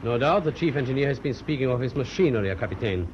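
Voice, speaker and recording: An actor in a radio drama playing a grave, dutiful ship's doctor, formal and measured, deferential but firm. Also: male voice, medium pitch, expressive, natural voice. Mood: formal, urgent. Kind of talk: British radio drama acting. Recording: dull old radio recording, faint noise.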